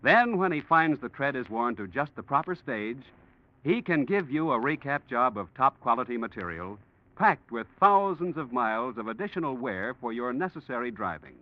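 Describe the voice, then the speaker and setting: Male 1940s radio pitchman, mid voice, animated intonation, scripted delivery, muffled vintage broadcast. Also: normal speed. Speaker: radio announcer.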